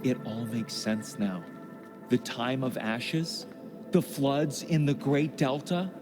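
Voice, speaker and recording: An actor playing an awed, devout cult convert in a video game, speaking slowly and reverently. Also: male voice, medium pitch, over loud music, lightly acted.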